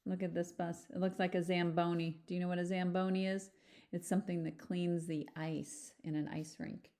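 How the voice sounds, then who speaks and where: A woman reading a picture book aloud like a teacher, animated, with chatty asides and questions to young listeners. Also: lowish voice, normal speed. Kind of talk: children's storybook read-aloud with chatty asides.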